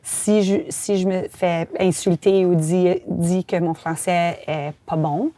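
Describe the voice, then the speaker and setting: Mellow Franco-Manitoban woman host. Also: fairly low pitch, fairly even intonation, slow, no room sound. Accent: Franco-Manitoban French. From Manitoba, Canada. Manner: frank, conversational, many fillers. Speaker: host and columnist. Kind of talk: casual interview conversation.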